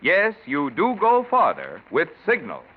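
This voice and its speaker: A male sponsor announcer on a thin, faintly hissing vintage radio recording. His voice is fairly high and swings boldly up and down, in classic 1940s commercial style. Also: huge pitch swings, measured pace. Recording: hissy, narrow-band 1940s radio recording.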